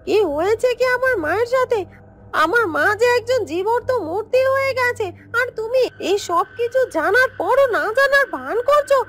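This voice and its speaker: A woman's voice: extremely high pitch, animated, normal speed, a bit bright, a little hiss or hum. A woman voicing an angry young village woman in a fairytale cartoon, accusing and vengeful, speaking emphatically. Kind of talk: Bengali fairytale cartoon voice acting.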